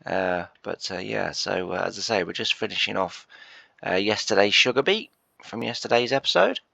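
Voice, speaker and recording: A man with a croaky, bunged-up voice from a chest cold, rambling through casual gameplay commentary with lots of hesitations. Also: fairly low pitch, normal speed, pushed and bright, no echo. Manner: rambling, hesitant, full of "uh" and restarts. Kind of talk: casual let's-play commentary.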